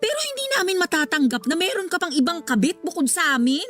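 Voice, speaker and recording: Mapanumbat na kabit, mataas ang boses. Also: female voice, extremely high pitch, big pitch swings, fast, a bit bright, faint music behind, exaggerated voice. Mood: indignant. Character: indignant mistress, sarcastic and demanding. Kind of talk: Filipino radio drama acting.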